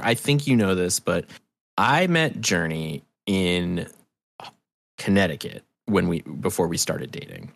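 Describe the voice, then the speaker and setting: A man being interviewed, telling stories in an animated, rambling, sweary and self-deprecating way. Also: fairly low pitch, creaky, no room sound. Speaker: interview guest, festival promoter. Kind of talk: casual storytelling interview.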